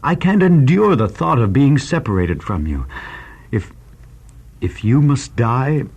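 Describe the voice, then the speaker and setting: An actor playing an anguished, devoted and reproachful first man in a religious radio drama, earnest and halting. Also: mid-range voice, big pitch swings, a little mellow, breathy edge, full character voice. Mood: torn, loving.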